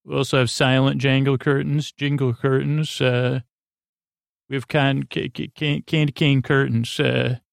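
A man with a creaky voice hosting a sleep podcast, rambling soothingly and haltingly as he keeps interrupting himself. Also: mid-range voice, little pitch movement, no echo, studio quality. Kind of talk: rambling bedtime monologue.

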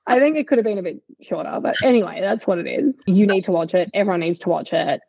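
A woman with an Australian accent co-hosting a casual pop culture chat in a chatty, expressive, informal way, with a husky, creaky voice. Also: mid-range voice, fast talker, dry recording, highs cut off. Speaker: podcast co-host.

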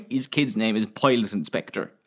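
A young Irish man joking his way through a relaxed podcast chat. His voice swings widely in pitch as he teases and riffs, laughing often and swearing casually. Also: slightly rough. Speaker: podcast co-host.

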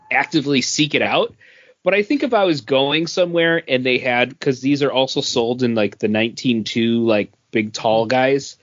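A man's voice: mid-range voice, a bit bright, muffled recording. A man talking casually as a podcast co-host, giving his offhand opinions. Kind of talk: casual chat, reviewing drinks.

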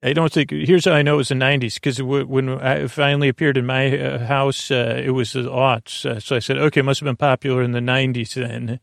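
A low-voiced American man with a creaky, gravelly edge, recorded close and dry. He hosts a bedtime podcast, wandering haltingly from one tangent to the next as he eases listeners toward sleep. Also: flat delivery, no echo. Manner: meandering, halting, goes off on tangents. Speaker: podcast host. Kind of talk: rambling bedtime monologue to help listeners fall asleep.

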